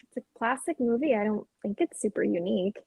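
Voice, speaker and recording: A woman's voice: mid-range voice, expressive, a little fast, gravelly, a little mellow, breathy edge. A woman acting a restless, searching female character who longs for change, in a dramatic script reading.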